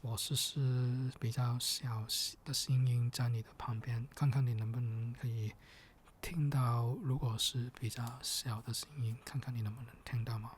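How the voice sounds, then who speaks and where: A man speaking gently and patiently in soft, partly whispered ASMR, with hesitant, step-by-step phrasing. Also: mid-range voice, little pitch movement, normal speed, a little hiss or hum. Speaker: ASMR performer.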